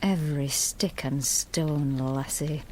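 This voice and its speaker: Haunted, brooding farm wife for radio drama: unhurried, halting, hushed, thinking aloud. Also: female voice, low-pitched, steady tone, slight background noise, rough recording. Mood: brooding, fearful. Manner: halting, hushed inner monologue. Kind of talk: BBC radio drama acting.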